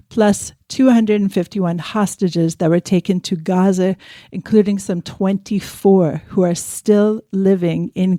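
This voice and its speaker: A woman hosts a news podcast with a mellow, warm tone and a measured, articulate delivery. Her voice sits close to the mic with a dry studio sound. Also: medium pitch, no room sound. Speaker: podcast host.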